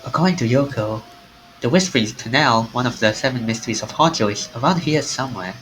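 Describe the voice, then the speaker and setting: A man streaming a game, reading its story text aloud in an animated way. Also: medium pitch, brisk, slight background noise, low-quality recording. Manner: animated, acts out the lines.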